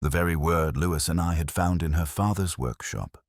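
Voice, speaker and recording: A man playing a brooding, erudite middle-aged police inspector, narrating a detective audio drama in a measured, literary, ruminative way. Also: very deep voice, no room sound, clean studio sound. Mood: pensive.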